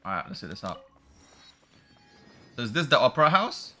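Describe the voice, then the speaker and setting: A man's voice: medium pitch, sing-song, normal speed, pushed and bright. A man giving casual, unscripted commentary as he plays a video game, musing and thinking aloud. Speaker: streamer playing a video game.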